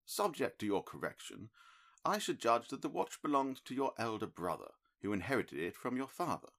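A man reading an audiobook, voicing a calm, analytical middle-aged male detective who explains his reasoning in a crisp, precise, lecturing manner. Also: mid-range voice, moderate pace, pitched above the performer's own voice, exaggerated voice.

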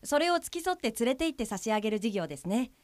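Woman playing earnest helper, slightly high voice. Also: very animated, quick pace, a little mellow, dry recording. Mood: polite, explanatory. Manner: polite, explanatory, businesslike. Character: earnest, matter-of-fact female guide helper for blind people. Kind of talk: audio drama dialogue.

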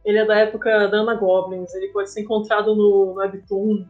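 A woman's voice: mid-range voice, little pitch movement, brisk. A woman with a Brazilian accent, chatting casually as a podcast guest. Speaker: comic artist, podcast guest. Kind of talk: casual interview chat. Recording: dull lo-fi sound, faint music.

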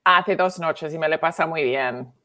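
A woman's voice: low voice, normal speed, sharp tone. A woman, co-hosting a casual comedy podcast and telling stories in a chatty way. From Spain. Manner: chatty storyteller, acts out quoted voices. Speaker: comedian and co-host.

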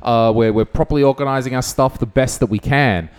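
A man's voice: medium pitch, a little fast, smooth. A man with a mid-range voice co-hosts a comedy podcast. He swears freely and tells stories with animation, sometimes putting on voices for effect. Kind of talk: casual chat.

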